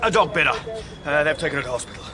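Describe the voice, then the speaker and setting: An actor playing a pompous, easily riled police chief who blusters and barks in a radio drama. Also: male voice, fairly high pitch, expressive, rapid-fire, crowd noise, natural voice. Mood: defensive bluster. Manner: blustering, barking, self-important.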